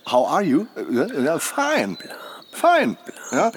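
Großspuriger, redseliger Mann mittleren Alters, sehr lebhafte Sprachmelodie. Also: male voice, a little high, huge pitch swings, takes it slowly, a little hiss or hum, full character voice. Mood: enthusiastic, mocking. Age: middle-aged. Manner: garrulous, bantering, swaggering. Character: boisterous, jovial middle-aged husband on a wilderness trip. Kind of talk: naturalistic German radio drama acting.

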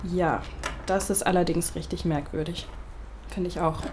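A woman's voice: fairly low pitch, fairly even intonation, a little slow, a little mellow, close to an everyday voice. Woman acting pragmatic, sceptical young best friend. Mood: gently sceptical. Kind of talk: naturalistic audio drama dialogue. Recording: clear background ambience noise.

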